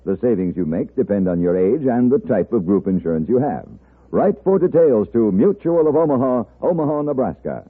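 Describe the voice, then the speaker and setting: A man's voice: medium pitch, very animated, a little fast. An American man delivering a scripted sales message as a 1950s radio announcer. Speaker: commercial announcer. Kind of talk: 1950s radio advertisement read. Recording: tinny, narrow-band 1950s radio recording.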